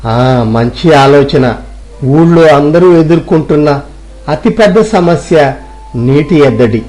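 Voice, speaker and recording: A man's voice: fairly high pitch, animated, unhurried, darkish tone. A man playing a poor, self-doubting villager who thinks aloud earnestly in a moral story. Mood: resolved. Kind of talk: Telugu moral story audio drama.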